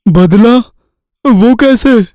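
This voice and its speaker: A man's voice: extremely high pitch, a little mellow, dry recording, studio quality, full character voice. A man voicing a gullible, simple-minded eighteen-year-old village lad, naive and earnest, in a Hindi cartoon story. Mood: eager, trusting. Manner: naive, slow-witted, earnest. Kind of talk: Hindi cartoon fairy-tale dubbing.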